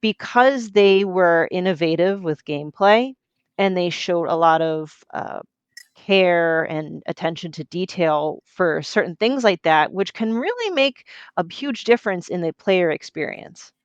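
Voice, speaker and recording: A woman hosting a podcast who interviews a guest in a chatty, friendly way with quick asides. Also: fairly low pitch, animated, clear, resonant tone, no room sound. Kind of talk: casual interview chat. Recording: dry, dull lo-fi sound.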